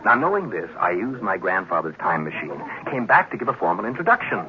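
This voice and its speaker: A man's voice: sits low, very animated, a little fast. A man acting in a 1950s radio drama as a smug, insistent young grandson from the future, lecturing theatrically. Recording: dull vintage radio recording.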